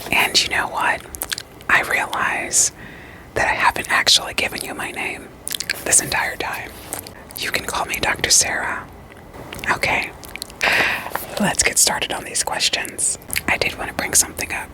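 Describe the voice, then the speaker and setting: A woman playing a calm, reassuring therapist in an ASMR roleplay, whispering softly and breathily with gentle, deliberate questions. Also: lightly acted, rough recording. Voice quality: soft, breathy, mostly unvoiced whisper. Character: calm, reassuring female therapist screening patients for werewolves.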